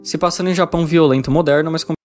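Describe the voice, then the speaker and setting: Fast-talking Brazilian man narrating a review. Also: fairly high pitch, steady tone, soft background music. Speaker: visual novel reviewer. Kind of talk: scripted video review narration.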